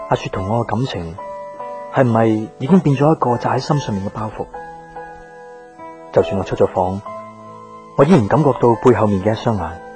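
A man's voice: fairly low pitch, close to an everyday voice. An actor playing a brooding young office man in a radio drama monologue, speaking with introspective restraint. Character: brooding young office man torn between two women. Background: guitar music.

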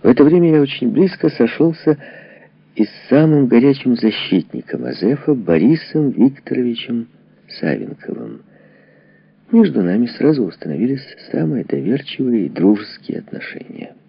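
Husky, expressive male audiobook narrator. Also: medium pitch, moderate pace, dry recording. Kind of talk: dramatic narration of a historical memoir. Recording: dull, lo-fi, dry audio.

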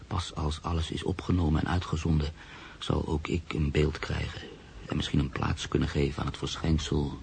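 A man's voice: extremely low pitch, vocal fry, a little mellow, a little hiss or hum. An actor playing a proud, troubled middle-aged writer in a radio drama, formal and hesitant, brooding as he narrates. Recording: radio play with music underneath.

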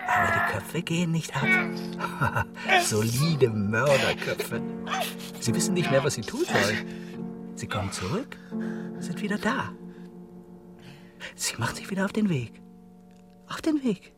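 A woman's voice: low-pitched, huge pitch swings, a little slow, pauses often, loud music behind the voice, full character voice. A woman playing a watchful observer who narrates an eerie, absurd tale in a radio play, in a dramatic scripted style.

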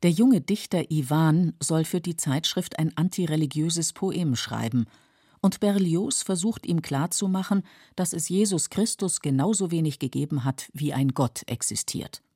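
A woman with a mellow, husky voice reading scripted documentary narration. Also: low-pitched, moderate pace, no echo, studio quality.

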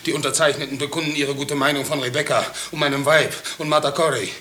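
An actor playing an upright, anguished farmer in a 1950s radio drama, earnest, respectful and insistent. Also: male voice, a little high, steady tone, fast, sharp tone. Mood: resolute, pleading. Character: upright, anguished farmer pleading for his wife. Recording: hissy old radio drama recording.

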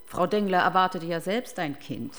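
An actress playing a cold, defiant clinic doctor under interrogation in a German radio crime drama, formal and indignant. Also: female voice, lowish voice, expressive, normal speed, a bit bright. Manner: formal, clipped, indignant, evasive.

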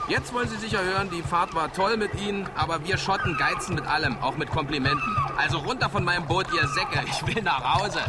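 A man's voice: sits high, fairly quick, hardly any pauses, over loud music, natural voice. An actor playing a dry, grumbling but good-hearted middle-aged boat skipper and father in a radio drama. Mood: gruff showmanship. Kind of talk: naturalistic radio drama acting. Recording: loud background noise, outdoor ambience.

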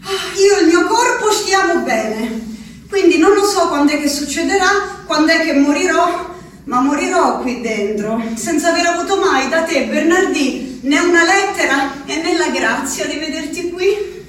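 An actress performing a slow theatrical monologue as a woman confined in an asylum writing to her husband, with a lilt. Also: extremely high pitch, expressive, a little hiss or hum. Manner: slow, intimate, shifting between hushed and sing-song. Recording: echoey, distant radio theatre recording.

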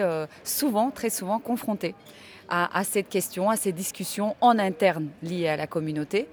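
A woman from North Macedonia, answering interview questions earnestly and haltingly, with many fillers. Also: lowish voice. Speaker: activist guest.

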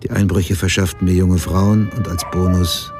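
A man's voice: sits low, fairly even intonation, moderate pace, a little mellow, faint music behind. An actor playing a sober, hard-boiled crime writer who narrates his past in a terse, matter-of-fact way in a radio drama.

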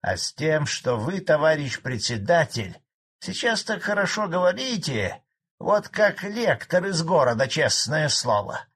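A man's voice: on the high side, sing-song, a little slow, fairly bright tone, pitched above the performer's own voice, full character voice. Man putting on a cranky old farmer. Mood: grumbling. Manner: gruff, defiant ranting. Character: grumpy old male collective farmer, spiteful.

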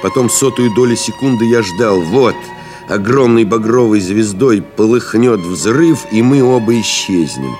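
An actor playing a weary, stoic pilot stranded in the desert, narrating gravely and deliberately in an audio play, with music behind him. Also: male voice, fairly low pitch, expressive. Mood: tense anticipation. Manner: grave, deliberate, brooding, with pauses. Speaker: actor narrating in first person.

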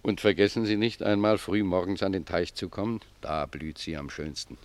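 A man's voice: low-pitched, no room sound, close to an everyday voice. A man playing a humble, pious old gardener in a German radio drama, speaking reflectively and with deference to his superior. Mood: gentle, humble. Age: older. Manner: reflective, deferential, unhurried phrasing.

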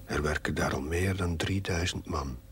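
A man playing a reflective, wistful diarist in a television drama voice-over, over faint rumbling noise. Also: normal speed, close to an everyday voice. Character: reflective man reading his diary aloud, wistful, self-deprecating.

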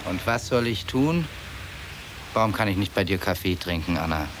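A man's voice: low-pitched, lively intonation, moderate pace, background noise. A man playing an exasperated, stubborn lover on his way out in a radio drama, speaking tersely and insistently. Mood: pleading. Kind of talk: German radio drama acting.

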